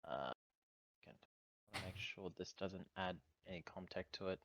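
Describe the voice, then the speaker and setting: A man playing a tabletop game, chatting casually and bluntly, thinking his moves through out loud. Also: sits low, moderate pace, dry recording. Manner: blunt, expressive, thinking aloud. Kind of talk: casual gaming chat.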